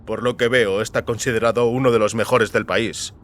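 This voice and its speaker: A man's voice: lowish voice, fairly even intonation, a little fast, a little hiss or hum, natural voice. A man playing a stern government security officer in audio fiction, pressing with clipped, insistent questions.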